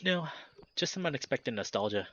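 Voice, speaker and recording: A man's voice: mid-range voice, very animated, normal speed, pushed and bright, muffled recording, pitched above the performer's own voice. A man voicing a frank, thoughtful young anthropomorphic furry woman from a visual novel, read in a blunt, matter-of-fact way. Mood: wistful. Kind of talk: reading game dialogue aloud.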